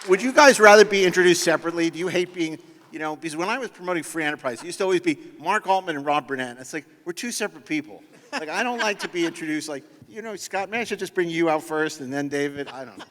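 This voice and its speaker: A man with a fairly high voice moderates a panel from a stage, his words ringing in a large hall. He is conversational and rambles into joking asides. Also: animated, quick pace, fairly bright tone. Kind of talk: live stage introductions, conversational. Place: convention stage before an audience. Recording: echoey hall, stage sound system.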